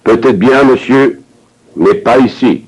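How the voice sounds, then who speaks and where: A man reading scripted dialogue for a language course with an expressive, theatrical delivery. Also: medium pitch, slow, breathy edge, rough recording.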